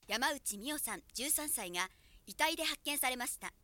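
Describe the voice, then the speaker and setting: Composed, high, crisp-toned female news anchor actress. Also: animated, pushed and bright, no echo. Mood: grave. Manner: formal, measured. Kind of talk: studio news reading, acted.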